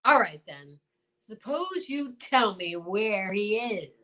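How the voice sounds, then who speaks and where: A woman's voice: medium pitch, lively intonation, takes it slowly, bright, forceful tone, rough recording. A woman playing a folksy older male small-town marshal, hemming and exclaiming in old-time radio drama style. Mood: puzzled.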